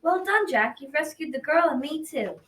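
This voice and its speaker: A kindly enchanted woodland lady acted by a young girl, gracious and high-voiced. It sounds like a school radio play caught in an echoey room, a little far from the mic. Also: animated, normal speed, fairly bright tone. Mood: joyful, gracious. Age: child about 12 to 13. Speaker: student actor in a school radio drama. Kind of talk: amateur radio drama acting. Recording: echoey room, distant mic, faint noise.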